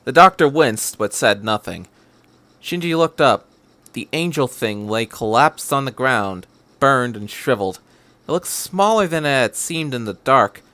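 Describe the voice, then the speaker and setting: A man reading a story aloud as a podcast narrator, in a measured, scripted storytelling style. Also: medium pitch, lively intonation, sharp tone, dry recording.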